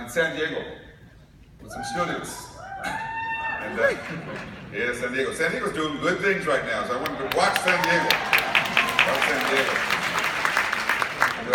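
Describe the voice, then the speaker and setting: A man from New York giving a conference keynote speech, telling stories with humor. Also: fairly high pitch. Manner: storytelling with humor. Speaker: keynote speaker. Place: large conference hall. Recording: echoey hall recorded from far off, noisy.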